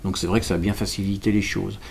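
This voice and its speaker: Low-voiced man explaining, searching for words. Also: flat delivery, fast, gravelly, a little mellow, slight background noise. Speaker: local representative explaining a village school project. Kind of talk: explanatory interview answers.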